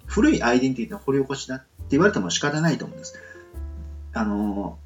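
A man's voice: mid-range voice, sing-song, quick pace, lo-fi sound, soft background music. A man hosting a casual solo talk radio show, politely explaining his thoughts in a hesitant, meandering way as he thinks aloud.

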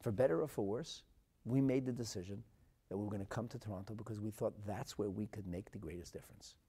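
A man with a North American accent giving a religious sermon as a rabbi, emphatic and dramatic, phrasing deliberately and repeating for effect. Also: on the low side, slightly rough. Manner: emphatic and dramatic, swinging from hushed asides to loud declarations; deliberate phrasing with rhetorical repetition. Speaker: rabbi and Torah teacher.

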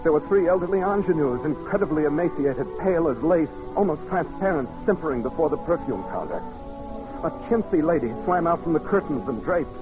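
Anxious poet narrator played by a man, fairly high voice, literary radio-drama delivery, muffled old recording with music behind. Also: animated, a little fast, lightly acted. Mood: haunted, fearful. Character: nervous, sensitive male poet recounting an eerie encounter.

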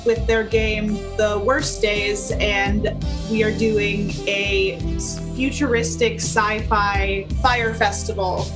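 A mid-pitched woman rambles jokily through her player introduction. Music plays clearly under her. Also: little pitch movement, takes it slowly. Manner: jokey and rambling.

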